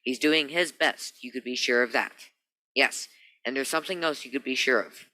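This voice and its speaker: An upbeat vintage radio pitchman, acted by a man, sells in a folksy, reassuring 1940s style. His voice is very bright and forceful, with polished delivery. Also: moderate pace, poor audio.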